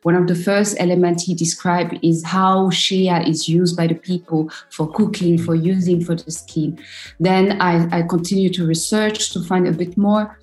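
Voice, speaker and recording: Woman, 30s, from Côte d'Ivoire; thoughtful interview guest, lowish voice, roomy echo. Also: level tone, normal speed, a little mellow. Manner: thoughtful, many restarts, frequent laughs. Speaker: guest, shea butter entrepreneur. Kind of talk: casual interview conversation.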